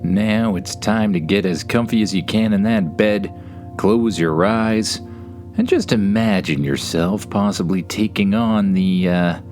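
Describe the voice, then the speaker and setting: A low-pitched Canadian man narrates a bedtime story for children over guitar music. His delivery is conversational and informal, with a wry, playful dryness. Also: unhurried, a little mellow.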